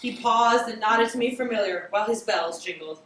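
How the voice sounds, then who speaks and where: High-pitched woman, very echoey dramatic reading. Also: a bit bright. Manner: theatrical, drawn-out emphasis. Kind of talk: amateur dramatic storytelling of classic fiction. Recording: very echoey room, distant mic, faint hiss.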